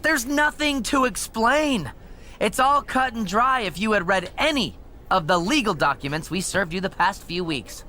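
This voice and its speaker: A smug, animated high-voiced schemer. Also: male voice, moderate pace. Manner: smug, mocking. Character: smug, scheming male wrestling manager's henchman. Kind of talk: video game cutscene acting.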